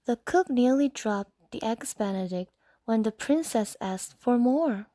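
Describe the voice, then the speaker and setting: Slow, high-voiced woman reading a bedtime story. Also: expressive, dark tone, dry recording.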